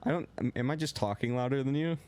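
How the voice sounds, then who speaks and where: A young man co-hosting a sports podcast in casual chat. He talks a little loud and rambles, with lots of filler words. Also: mid-range voice, quick pace. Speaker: podcast co-host.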